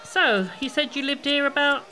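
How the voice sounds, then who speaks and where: A woman's voice: sits high, moderate pace, sharp tone, slight background noise. A woman playing a chatty, gossipy, bustling hair salon owner in an audio play, gushing and exclaiming. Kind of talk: amateur audio play acting.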